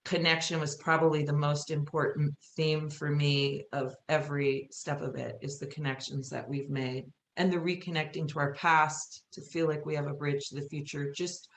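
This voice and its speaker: A woman's voice: sits low, level tone, normal speed, few pauses. A woman podcast guest chatting casually and reflectively, earnest and circling back over phrases for emphasis. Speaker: podcast guest, theatre creator. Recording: roomy echo with faint hiss.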